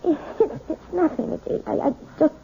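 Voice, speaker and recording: An actress playing a timid, hesitant wife and piano teacher in a 1970s radio drama. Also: female voice, high voice, moderate pace, vocal fry, mellow, slight background noise. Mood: frightened. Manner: hesitant, stammering. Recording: tinny old radio broadcast with music.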